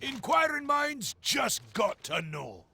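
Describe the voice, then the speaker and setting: A creaky, swooping game adventurer. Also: male voice, very high voice, big pitch swings, takes it slowly, sharp tone, a little hiss or hum, full character voice. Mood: jaunty. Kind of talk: scripted video game voice acting.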